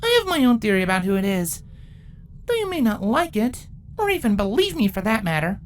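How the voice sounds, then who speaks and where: Woman voicing a regal, animated fantasy creature queen. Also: on the high side, brisk. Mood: earnest. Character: regal female changeling queens and pony in debate. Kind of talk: dramatic story character acting.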